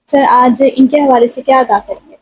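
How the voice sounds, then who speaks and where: High, husky Pakistani woman presenter. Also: fairly even intonation, darkish tone, poor audio. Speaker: talk show host. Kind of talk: formal programme presenting.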